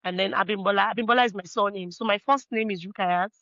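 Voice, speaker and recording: A woman's voice: mid-range voice, fast, fairly bright tone, dry recording. Nigerian woman, slightly dull dry recording. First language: Yoruba. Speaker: interview guest, fashion brand founder. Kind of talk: casual interview talk.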